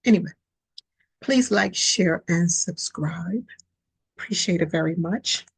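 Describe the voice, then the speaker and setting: A woman with a raspy, husky voice hosts her own podcast and rambles casually through a tarot reading, full of fillers and restarts. She speaks on a built-in mic with no headset, and the sound is dry and close, with no room echo. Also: fairly low pitch, takes it slowly. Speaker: podcast host and tarot reader.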